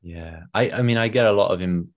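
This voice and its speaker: A young man with a Welsh accent hosting a podcast, asking questions in a relaxed, conversational way. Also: low voice, fairly quick. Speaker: podcast host. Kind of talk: casual interview questions.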